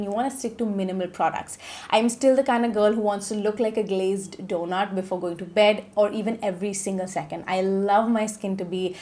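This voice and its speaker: A woman's voice: medium pitch, darkish tone. A woman of thirty with a nasal voice from a cold, chatting casually in a beauty vlog and often checking in with "okay?". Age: 30s. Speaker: beauty vlogger.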